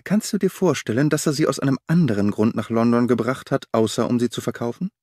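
A man reading the part of a sharp-witted detective reasoning aloud in an audiobook. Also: mid-range voice, animated, quick pace, dry recording, studio quality, natural voice.

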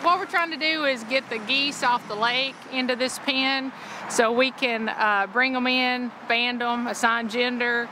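A woman's voice: fairly high pitch, steady tone, unhurried. A woman working as a wildlife officer, explaining field work outdoors. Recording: outdoor noise, honking geese.

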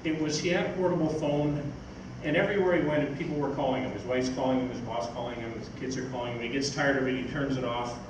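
An older man giving an informal, halting talk from notes on a stage. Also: medium pitch, fairly even intonation. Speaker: speaker, magazine editor. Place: theatre stage, indoors. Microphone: stage mic on stand, picked up by distant camera. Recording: roomy, noisy stage recording from far off.

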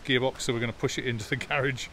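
A man's voice: medium pitch, rapid-fire. Man chatting about cars outdoors. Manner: relaxed, hesitant with many fillers. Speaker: classic car vlogger. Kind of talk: casual walk-around commentary. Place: outdoor car park by a workshop. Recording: outdoor phone video with clear background noise. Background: jet washer and outdoor noise.

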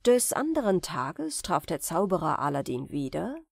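A woman's voice: lowish voice, sing-song, normal speed, vocal fry, husky, no room sound, clean studio sound. A woman narrating a fairy tale as a scripted bedtime audiobook.

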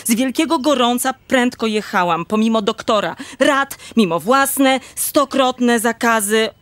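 An actress playing an agitated, lovesick countess in a radio drama, with a melodramatic manner. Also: female voice, fairly high pitch, expressive, a little slow, a bit bright, dry recording. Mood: agitated, breathless.

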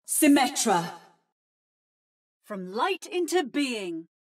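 Female game robot, high voice, slow pace, precise, theatrical, adaptive mimic of heroes. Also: very animated, heavily acted. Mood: poised. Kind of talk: video game voice lines.

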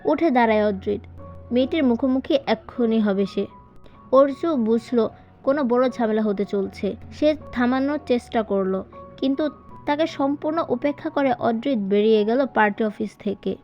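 A woman's voice: on the high side, moderate pace. A woman reading a scripted story as a dramatic audiobook narration. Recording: dull lo-fi voiceover with music. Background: background music.

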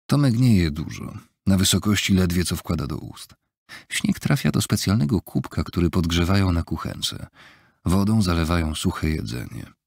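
Low gravelly man narrating an audiobook. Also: dry recording, studio quality. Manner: measured, even storytelling. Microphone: close studio mic, dry.

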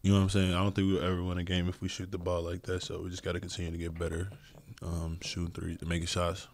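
A young man, a basketball player, answering postgame questions at a press conference in a low-key, hesitant way with many fillers, speaking into a desk mic. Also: monotone, fast. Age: young adult. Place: press room with logo backdrop. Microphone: desk mic on stand.

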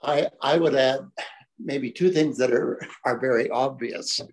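An older man in a seniors group discussion, speaking in a measured, formal way and setting out his points in order on a video call. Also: mid-range voice, husky.

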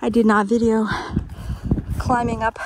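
A woman's voice: on the high side, little pitch movement, a little slow, noisy background. A woman in her fifties talking casually to a phone camera on a long-distance hike, chatty with fillers and restarts. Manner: chatty, plain-spoken, many fillers and restarts. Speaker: thru-hiker vlogger. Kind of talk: casual trail vlog to camera. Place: outdoors on a mountain meadow, later inside a small tent. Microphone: phone held at arm's length.

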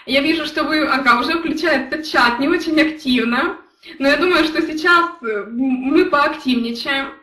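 Young woman live streaming, sharp bright voice, sounds a bit far from the mic. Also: sits high, moderate pace, roomy sound. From Ukraine. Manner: earnest, storytelling, addresses chat viewers directly. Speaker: network marketing leader. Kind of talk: motivational live stream talk.

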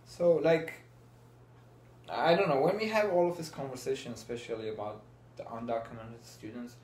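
A man's voice: medium pitch, normal speed, darkish tone. A man, passionately asking questions in a casual conversation. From Iran. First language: Farsi. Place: small room indoors. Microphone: fixed camera, seated. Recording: roomy with faint background hiss.

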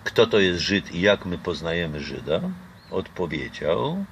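A man's voice: low voice, lively intonation, measured pace, a bit bright, a little hiss or hum. An older man from Poland talking casually in an interview outdoors, reflective and hesitant with many fillers and restarts. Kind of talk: casual conversation. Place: outdoor garden patio. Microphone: camera close by.

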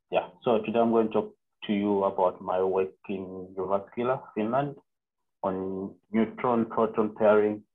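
A young man from Zambia, a physics PhD student, giving an online seminar lecture, halting with fillers and self-corrections. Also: low voice. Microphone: video call. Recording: narrow phone-like call audio.

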